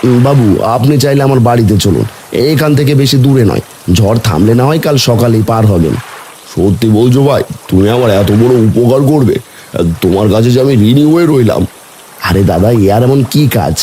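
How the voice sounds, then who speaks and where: A man playing a kindly, humble village man in an animated cartoon story. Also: mid-range voice, brisk, slight background noise, natural voice. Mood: earnest, sympathetic. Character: kindly humble villagers: poor boatman and lonely widower. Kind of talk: animated story character dialogue.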